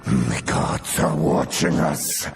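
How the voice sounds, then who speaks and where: An actor playing a fierce, zealous warrior in a fantasy game, gravelly and grandiose. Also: male voice, rumbling low, slow pace, music in the background, exaggerated voice. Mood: ominous zeal. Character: fierce, zealous warrior devoted to his gods.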